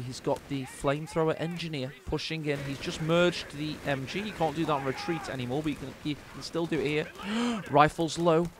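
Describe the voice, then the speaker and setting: A man's voice: fairly high pitch, background noise. Man casting a strategy game, voice strained. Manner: excitable, hyped, quick asides. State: strained voice, speaking quietly. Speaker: game caster. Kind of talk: esports commentary. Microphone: close desk mic.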